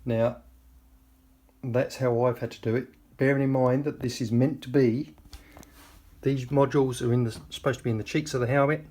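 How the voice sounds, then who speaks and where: A man's voice: medium pitch, steady tone, normal speed, a little mellow. A man giving a casual, plain-spoken and disgruntled product review to camera. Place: small enclosed room indoors. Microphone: camera mic some distance away. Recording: roomy, distant camera mic, faint noise.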